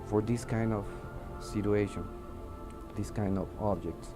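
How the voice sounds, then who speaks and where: Halting, low-voiced Mexican man over music. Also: steady tone. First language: Spanish. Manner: hesitant, searching for words, broken grammar. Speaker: air traffic controller giving testimony. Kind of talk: interview testimony in halting English. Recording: music under the voice.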